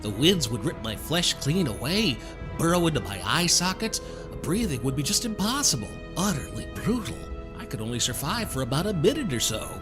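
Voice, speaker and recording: Man acting suave gentleman stranger, high-set voice, swooping intonation, ornate manners, sly wit, audio drama. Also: very animated, a little fast, music in the background. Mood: courtly, needling. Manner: florid, over-polite, theatrical, wry. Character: suave, courtly gentleman stranger, slyly mocking and theatrical.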